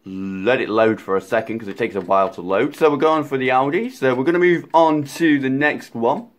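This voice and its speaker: A man with a croaky voice, chatting excitedly through a racing game as a gaming YouTuber, rambling with fillers. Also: medium pitch, a little fast. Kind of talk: casual racing game commentary.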